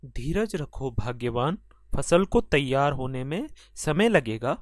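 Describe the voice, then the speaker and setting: An actor playing a hardworking, weary farmer husband in a cartoon fable, with an earnest, gently chiding manner. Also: male voice, mid-range voice, very animated, takes it slowly, dry recording, clean studio sound. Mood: patient, chiding.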